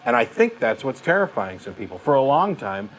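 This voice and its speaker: A man's voice: mid-range voice, sing-song, fairly quick, a little hiss or hum. A man in his late thirties co-hosting a talk show, giving opinions in an emphatic, blunt, casual way. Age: late 30s.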